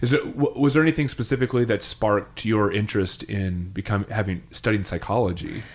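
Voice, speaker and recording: A man's voice: on the low side, brisk. Enthusiastic man hosting a podcast. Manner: enthusiastic, frequent affirmations. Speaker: podcast host. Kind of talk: casual interview conversation.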